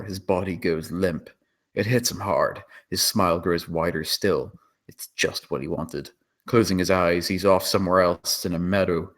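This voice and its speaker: A man with a low voice narrates a screenplay. He reads the action and scene descriptions as dramatic scripted storytelling. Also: creaky, a bit bright. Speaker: narrator reading stage directions.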